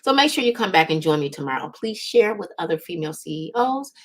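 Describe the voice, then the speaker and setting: A woman's voice: lowish voice, expressive, fast. A woman speaks as a motivational livestream host. Her delivery is emphatic and folksy, coaching listeners directly with plenty of encouragement. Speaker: host, business strategist and coach.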